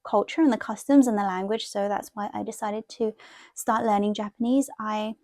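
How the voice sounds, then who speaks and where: A woman's voice: medium pitch, steady tone, normal speed, a little mellow, dry recording, clean studio sound. A woman chatting casually and ramblingly as she talks viewers through something in an informal show-and-tell. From half Thai, half Italian heritage. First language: Thai. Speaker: planner and journaling vlogger.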